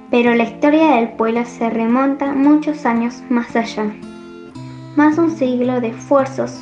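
A woman's voice: a little high, darkish tone. Argentine woman narrating in dull, roomy sound. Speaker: radio segment presenter. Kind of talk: scripted historical narration. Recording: dull roomy audio, music behind.

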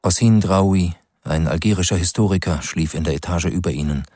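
A man narrating a scripted crime radio drama as a grave, sober storyteller with a measured delivery. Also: low-pitched, a little mellow, no room sound, studio quality. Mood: grave, tense.

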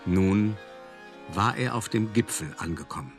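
Low-voiced, urbane male art dealer. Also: lively intonation, music in the background. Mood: measured, proud. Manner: measured, deliberate, articulate storytelling with pauses. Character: urbane, self-satisfied male art dealer recounting his schemes. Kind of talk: radio play monologue, narrated confession.

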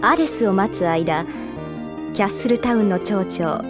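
A woman playing a composed, scheming narrator, reading scripted audio drama narration in a measured manner. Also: mid-range voice, lively intonation, over music, close to an everyday voice.

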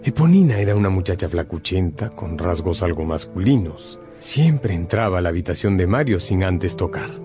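Low-pitched male dramatic narrator. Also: animated, moderate pace, hardly any pauses. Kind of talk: dramatic scripted radio narration. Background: music.

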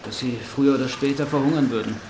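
An actor playing an earnest islander guiding survivors, explaining things in a measured, pausing way, in a German radio drama. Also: male voice, mid-range voice, darkish tone, lightly acted. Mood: grave, explanatory. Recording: echoey space, clear background noise.

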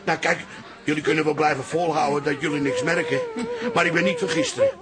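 A man's voice: a little high, huge pitch swings, quick pace. A man playing a gullible, indignant older bar owner in a Dutch radio comedy, with a blustering delivery. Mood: indignant, defiant. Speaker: actor in a radio drama.

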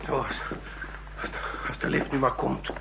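An actor in an old radio play playing a shifty, desperate secret agent who speaks with nervous, pleading intensity. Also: male voice, measured pace, lightly acted. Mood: faltering, weakened. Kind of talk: vintage Dutch radio play acting. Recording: noisy, humming old radio recording.

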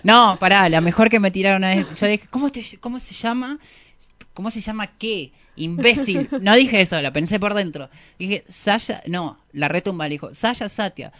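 Slangy, irreverent Argentine guest, medium pitch. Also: moderate pace, fairly bright tone, no echo, studio quality. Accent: Argentine Spanish. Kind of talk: casual, joking group chat. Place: radio studio booth.

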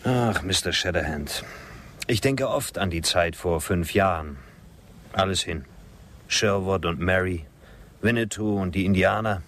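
An actor playing a wistful former poet and cabaret singer in a German radio drama, measured, reflective and gently ironic. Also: male voice, deep voice, exaggerated voice. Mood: melancholy.

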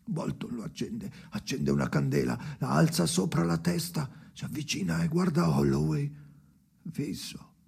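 A man playing a haunted, brooding storyteller in an experimental radio drama, speaking in halting, repeated fragments with a creaky voice that often drops to whispers. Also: on the high side, expressive, darkish tone, full character voice. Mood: bleak, tense. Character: haunted brooding man narrating memories alone by the sea.

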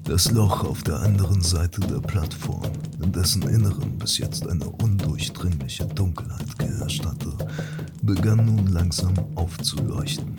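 A man's voice: low voice, measured pace. A man reading scripted narration for a fantasy audio drama. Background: loud music.

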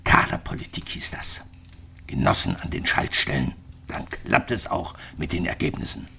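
Lonely retired physicist, sixties, East German, very deep, creaky fry, bitter, reflective, radio drama. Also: male voice, big pitch swings, normal speed, pushed and bright, full character voice. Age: 60s. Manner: reflective, bitter, clipped phrases.

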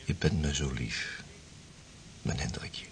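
An actor playing a young sixteenth-century sea explorer, eager and tender, in a radio play. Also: male voice, extremely low pitch, steady tone, takes it slowly, in a whisper. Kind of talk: radio drama acting.

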